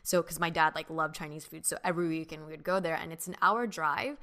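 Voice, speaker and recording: A young woman from the United States, co-hosting a podcast and telling childhood stories in a relaxed, reflective way, with many fillers. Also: deep voice, quick pace. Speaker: podcast co-host. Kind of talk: casual chat.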